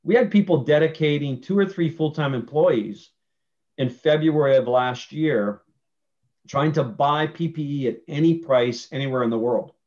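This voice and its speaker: A man's voice: on the high side, normal speed, darkish tone. A man in his forties on a video call at a virtual town hall, explaining in an earnest way with many fillers and restarts. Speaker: panelist speaking for nursing homes. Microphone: video call webcam. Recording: muffled video call audio.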